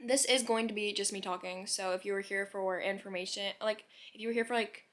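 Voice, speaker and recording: Bright-voiced eighteen-year-old woman, rambling and musing. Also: medium pitch, steady tone, a little fast. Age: about 18. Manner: musing, self-questioning, heavy use of "like". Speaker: vlogger. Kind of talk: rambling, casual reflective chat to viewers.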